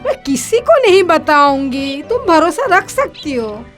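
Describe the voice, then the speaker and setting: Nosy coaxing village gossip pal, cartoon, super high swoopy voice. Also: female voice, big pitch swings, unhurried, over music. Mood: curious, reassuring. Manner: eager, coaxing. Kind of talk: cartoon story voice acting.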